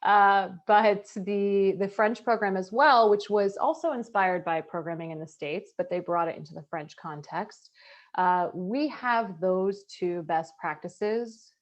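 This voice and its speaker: A woman's voice: medium pitch, a little slow, highs cut off. A woman, co-founder of a nonprofit, speaking earnestly in a webinar conversation over a video call and often checking in with "right?". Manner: earnest, tag questions like "right?".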